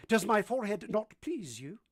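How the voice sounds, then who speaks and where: A woman playing a brusque, moody gentleman master in a vintage-style radio drama, commanding and teasing as they question. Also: medium pitch, very animated, normal speed. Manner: imperious, teasing, theatrical questioning.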